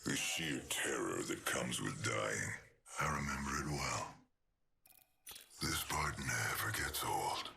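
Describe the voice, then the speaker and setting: An actor playing a menacing, sadistic killer machine in a video game, with a whispery growl and slow, deliberate threats. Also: male voice, extremely low pitch, gravelly, bright, forceful tone, slight background noise, full character voice. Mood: gloating menace. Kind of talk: video game character voice lines.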